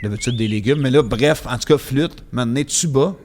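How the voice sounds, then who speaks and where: A man with a Quebec French accent telling absurd comic stories in casual banter, halting and repeating himself as he goes. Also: mid-range voice, a little mellow, slight background noise.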